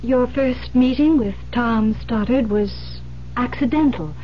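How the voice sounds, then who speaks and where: An actress playing a composed, grave police detective, explaining deliberately with pauses in old-time radio drama style. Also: female voice, a little high. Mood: measured, insistent. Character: composed, grave female police detective breaking bad news. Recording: muffled vintage radio recording with hiss.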